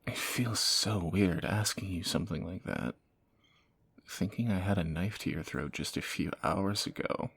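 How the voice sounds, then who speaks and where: Man playing a groggy, gravelly-voiced fantasy rogue. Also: sits low, fairly bright tone, dry recording, full character voice. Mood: groggy, wry. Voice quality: gravelly, husky morning voice. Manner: sleepy, halting, wry understatement. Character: groggy male fantasy assassin, softening captor, flustered and teasing. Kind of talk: intimate romantic audio roleplay.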